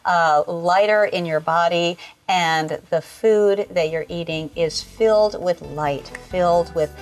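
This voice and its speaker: A middle-aged woman, founder of a culinary school, speaking earnestly and reflectively in an interview, pausing often on fillers. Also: lowish voice, soft background music.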